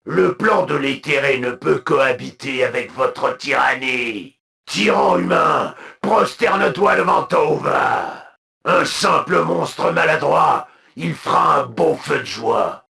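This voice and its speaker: An actor voicing a proud, zealous alien military commander for a video game, with a gravelly voice and solemn, imperious, clipped delivery. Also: male voice, a little high, lively intonation, takes it slowly, pushed and bright, exaggerated voice. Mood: defiant, aggressive. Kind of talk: video game unit voice lines, declamatory.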